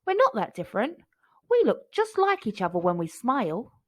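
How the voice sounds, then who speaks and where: A woman's voice: on the high side, wildly swooping pitch, moderate pace. A woman voicing a small, plucky, affectionate boy in a children's storybook, with an eager manner.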